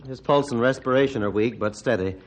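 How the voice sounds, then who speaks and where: An actor playing a solemn, humble surgeon with a grave, deliberate delivery in an old-time radio drama. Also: male voice, medium pitch, close to an everyday voice. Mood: relieved. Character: solemn, humble male surgeon regaining faith in himself.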